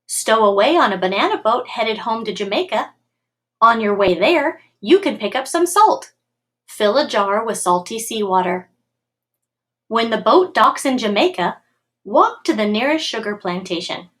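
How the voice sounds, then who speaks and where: A woman reading a picture book aloud to schoolchildren in an expressive, clearly paced storytelling way. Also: fairly high pitch, normal speed.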